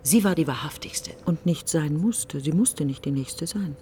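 An actress playing a grieving, fearful woman in a literary radio drama monologue, speaking in halting, deliberate fragments with a breathy, often nearly whispered voice. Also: low-pitched, warm tone, a little hiss or hum, lightly acted. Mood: brooding grief. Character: grieving, fearful, brooding woman mourning her best friend.

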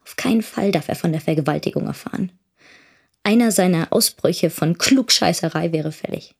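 Husky, defiant young daughter. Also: female voice, fairly low pitch, normal speed. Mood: scornful. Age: young adult. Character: defiant, wounded teenage daughter of a know-it-all teacher. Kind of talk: first-person radio drama monologue.

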